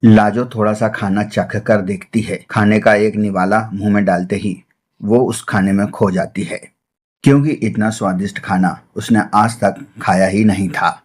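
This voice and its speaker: A man's voice: fairly low pitch, level tone, moderate pace, slightly rough. A man narrating a scripted children's-style cartoon story as its storyteller.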